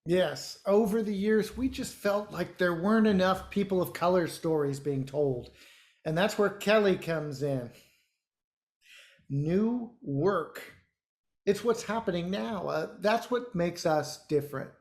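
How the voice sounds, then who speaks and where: A man playing a self-important theater director in an online play, officious and given to hemming and hawing. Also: sits high. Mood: earnest, smug. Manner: halting, officious, hems and haws. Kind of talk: naturalistic dialogue in an online one-act play. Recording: echoey room on video call.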